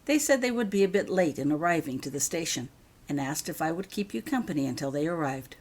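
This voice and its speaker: A woman voicing a calm, kindly grown man in a quiet, conversational way for a story. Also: low-pitched, quick pace.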